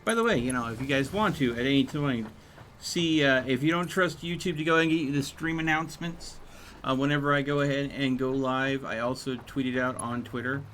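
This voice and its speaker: A man streaming a game, chatting casually in a rambling, halting way. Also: mid-range voice, fairly bright tone, slight background noise. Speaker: live streamer. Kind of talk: casual gaming livestream chat.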